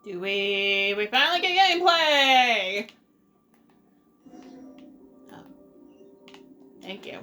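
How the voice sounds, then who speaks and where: Slow-talking woman gamer over music. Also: fairly high pitch, big pitch swings, bright, forceful tone. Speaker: let's play streamer. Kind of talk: casual gameplay commentary.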